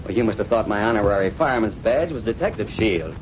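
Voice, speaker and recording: An actor with an American accent playing a brash, wisecracking newspaper reporter in a slangy, cocky vintage radio drama style. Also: male voice, fairly low pitch, lively intonation, fast talker, lightly acted. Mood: needling. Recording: muffled old radio recording, faint noise.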